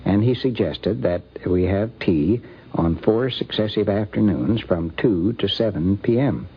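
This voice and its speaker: An older American man telling anecdotes off the cuff in a halting, deliberate way. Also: fairly low pitch, husky. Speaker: interviewee recalling a friend. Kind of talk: unscripted anecdotal reminiscence. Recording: dull vintage radio recording.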